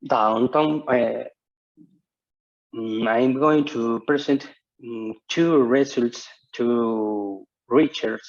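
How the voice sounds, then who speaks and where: Slow, halting Argentine man on a call. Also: mid-range voice. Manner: hesitant, halting non-native English with many restarts. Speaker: researcher giving a conference talk. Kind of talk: online conference lecture. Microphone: video call. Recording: muffled video-call audio.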